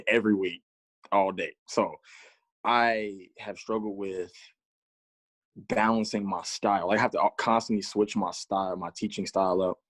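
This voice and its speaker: A man's voice: on the low side, fairly even intonation, unhurried, fairly bright tone, dry recording. A man in his mid twenties, a gym teacher answering interview questions in an easygoing, reflective way. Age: 20s. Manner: easygoing, reflective, light laughs. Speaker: physical education teacher, guest.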